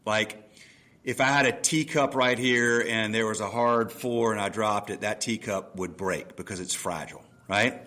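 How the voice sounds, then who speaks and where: A man's voice: fairly low pitch, moderate pace, sharp tone. A man in his fifties hosting a podcast interview, talking casually and enthusiastically at a desk microphone, explaining ideas with everyday examples. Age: 50s. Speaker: podcast host. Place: room at a table with laptop.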